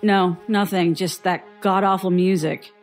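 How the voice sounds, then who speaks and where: Actress playing weary woman witness, low voice, dry and sardonic, reluctant, audio drama, faint music underneath. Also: fairly even intonation, measured pace, lightly acted. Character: guarded, weary woman survivor recounting childhood strangeness. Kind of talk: audio drama acting, interview testimony.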